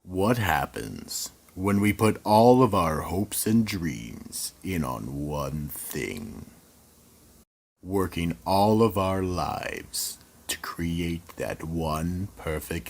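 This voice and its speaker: A man hosting and narrating a horror radio drama in a slow, ominous, dramatic way. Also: low-pitched, big pitch swings, gravelly. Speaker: horror anthology host and narrator.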